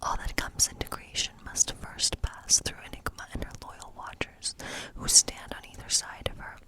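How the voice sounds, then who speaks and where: Female ASMR role player, fully whispered, gentle fortune-telling witch, unhurried and thoughtful. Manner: unhurried, musing, thinking aloud. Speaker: ASMR role-play performer as a tarot-reading witch. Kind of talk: whispered ASMR tarot reading role play.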